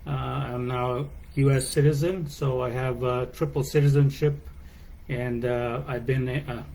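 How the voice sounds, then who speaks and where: A man from Canada answering interview questions in a measured, relaxed way from a hotel room. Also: mid-range voice, gravelly, poor audio. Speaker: guest.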